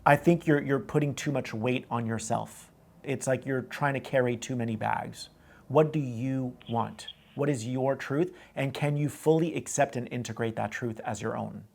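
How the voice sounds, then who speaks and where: A man's voice: medium pitch, moderate pace, gravelly, darkish tone. A man hosting a call-in advice show, coaching a caller in a direct way and often repeating himself. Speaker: host giving advice.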